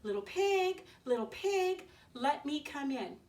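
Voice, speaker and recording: A woman voicing a sly, hungry big bad wolf in a read-aloud storybook, with booming drawn-out threats and wheedling offers. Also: very animated, a little mellow, exaggerated voice. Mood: threatening. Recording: distant mic, faint background noise.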